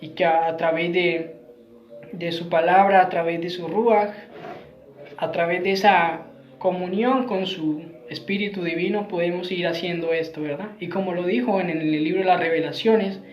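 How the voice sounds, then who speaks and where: A man's voice: high voice, fairly even intonation, moderate pace. A man giving an earnest Bible teaching sermon, repeating his phrases and often ending with tag questions. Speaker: Messianic preacher. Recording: roomy, dull lo-fi sound.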